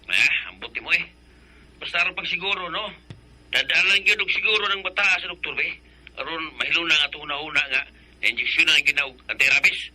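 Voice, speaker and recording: An actor playing a working husband in a radio drama, offhand and reassuring. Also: male voice, a little high, fairly quick, bright, forceful tone, low-quality recording. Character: tired working husband, easygoing.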